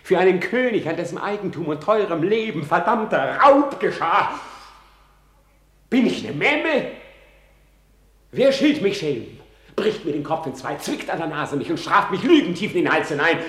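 An actor playing a tormented, self-loathing prince in a classical radio drama, declaiming in a way that swings between whispers and outcries. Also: male voice, fairly high pitch, very animated, normal speed, fairly bright tone. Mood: agitated, bitter. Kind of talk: classical stage-style Shakespeare radio drama monologue. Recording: roomy, echoing radio drama studio.

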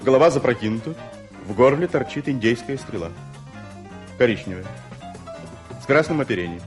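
An actor in a Soviet radio play voicing a bitter, secretive private secretary in clipped, deliberate phrases. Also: male voice, medium pitch, expressive, music in the background, close to an everyday voice. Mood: tense, reporting.

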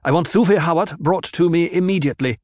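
A man voicing a commanding noble lady who gives sharp, clipped orders. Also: high-pitched, very animated, normal speed, no room sound, clean studio sound, voice pushed up.

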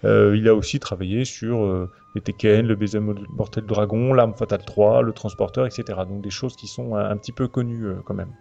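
A man's voice: fairly low pitch, steady tone, fairly quick, few pauses, mellow. A man hosts a semi-prepared film discussion podcast, often hesitating as he searches for words. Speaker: podcast host. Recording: dull lo-fi audio, music behind. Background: clear background music.

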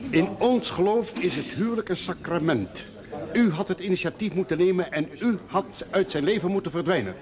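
An actor playing a stern, dignified middle-aged father-in-law, speaking formally and in measured phrases in a television drama. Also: high-pitched, animated. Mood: stern reproach. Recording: busy crowd of voices behind.

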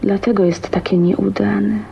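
An actress playing a shy, lonely, dreamy young spinster in a radio drama, speaking hesitantly in a hushed, intimate way. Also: female voice, medium pitch, level tone, a little slow, vocal fry. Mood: defiant resolve. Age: young adult. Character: shy, lonely, dreamy 29-year-old spinster, wistful and wounded. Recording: dull, noisy 1980s radio drama recording.

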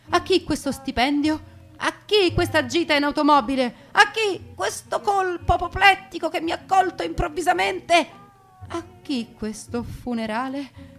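A woman's voice: very high voice, a bit bright. A woman performing a theatrical narrated monologue, emphatic and rhythmic, stretching out words in lists. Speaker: stage actor and narrator.

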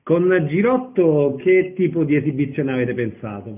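A man interviews on the radio in a fairly high voice with lively, swinging intonation. The sound is thin and narrow, like a phone connection. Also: normal speed.

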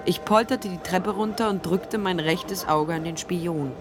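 A woman's voice: lowish voice, unhurried, music in the background, lightly acted. An actress playing a tough, sardonic female private detective in a radio drama, dialogue and first-person narration, dry and wry. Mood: tense, dry narration. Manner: dry, wry, hard-boiled.

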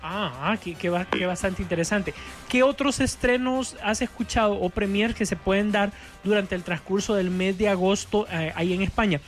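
A man's voice: high-pitched, moderate pace. A man with a Honduran accent hosting a film radio show in a casual, chatty way, often repeating words as he goes. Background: background music.

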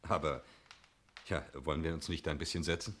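An actor in a 1960s German radio play, playing a breezy, talkative stranger who is glib, jovial and evasively polite. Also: male voice, rumbling low, fairly even intonation, normal speed, heavy background noise. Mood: glib, philosophising. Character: breezy, talkative male stranger claiming to own the chalet.

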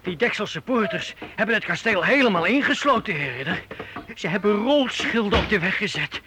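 Timid, high-voiced stammering soldier. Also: male voice, expressive, normal speed. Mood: worried. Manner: stammering, hesitant, deferential. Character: timid, dim, anxious male soldier serving a knight. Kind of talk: theatrical radio drama acting for youth. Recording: old radio recording with faint noise.

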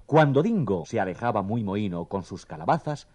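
A man's voice: lowish voice, big pitch swings, a little fast, darkish tone, breathy edge. A man narrating a scripted children's story as the storyteller.

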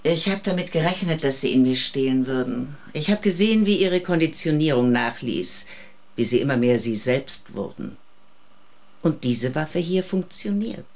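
An actress playing a composed, resolute rebel agent in a science-fiction radio drama, speaking in a measured, matter-of-fact way. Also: female voice, low-pitched, expressive, a little mellow. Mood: steely, commanding.

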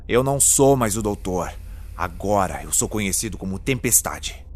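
An actor playing a weary, irritable time-traveling hero in an audio drama, with a wry, flippant and dramatic delivery. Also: male voice, on the low side, animated, normal speed, darkish tone, slight background noise, close to an everyday voice. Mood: weary, guarded. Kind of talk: scripted audio drama acting.

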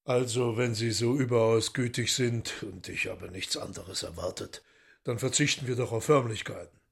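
An actor playing the devil in a radio drama, an imperious, mocking lord with a hoarse, gravelly voice who moves between courtly politeness and gruff scolding. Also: male voice, mid-range voice, normal speed. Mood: courteous. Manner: courtly formal phrases mixed with gruff scolding.

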